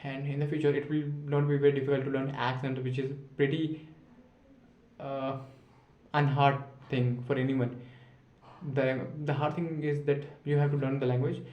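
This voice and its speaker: Flat-voiced 19-year-old man, rambling to camera. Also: medium pitch, moderate pace, reverberant, lo-fi sound. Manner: rambling and self-encouraging, thinking aloud with frequent restarts. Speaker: vlogger practising spoken English. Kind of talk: unscripted self-talk monologue to camera.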